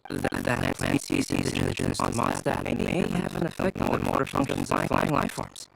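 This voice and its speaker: A man voicing a calm, courteous young alchemist in an anime-style game, measured, precise and formal, with a scientific mind. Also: medium pitch, poor audio. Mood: dryly analytical. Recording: clear noise and ambience behind.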